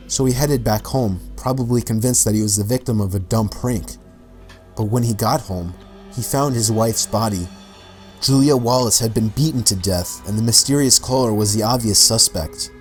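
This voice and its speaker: Man, medium pitch, scripted documentary narration, background music. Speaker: video narrator. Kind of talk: scripted documentary-style narration of true mysteries.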